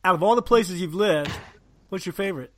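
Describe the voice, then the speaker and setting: A man's voice: sits high, fairly quick. Male interview host, encouraging. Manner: encouraging, affirming. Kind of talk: interview, casual chat.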